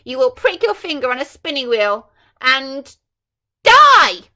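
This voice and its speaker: Mean fairy villainess, storybook style, raised high voice, snarling threats, furious, sharp-edged. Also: female voice, sing-song, slow, exaggerated voice. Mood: snarling menace. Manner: roaring, snarling, purring menace.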